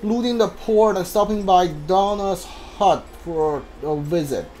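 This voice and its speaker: A man's voice: high voice, unhurried, a little mellow, a little hiss or hum. A man giving casual video game playthrough commentary, mumbling his thoughts aloud as he plays. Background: game music.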